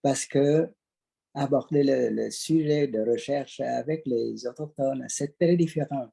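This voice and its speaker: Older man, very soft dark tone, slow pace, halting personal account. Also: mid-range voice, gravelly, slightly rough. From Vietnam. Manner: halting, searching for words. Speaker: audience participant. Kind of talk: personal account at an online conference.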